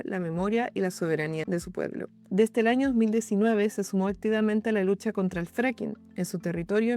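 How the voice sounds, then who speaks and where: A young woman hosts a podcast and welcomes listeners and her guest warmly before asking her questions. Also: medium pitch. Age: young adult. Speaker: podcast host, interviewer.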